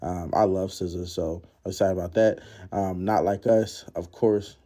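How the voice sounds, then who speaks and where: A man's voice: sits low, steady tone, normal speed, dark tone. A man giving a laid-back, slangy voice-over recap of his night out. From Philadelphia, United States. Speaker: concertgoer narrating his vlog.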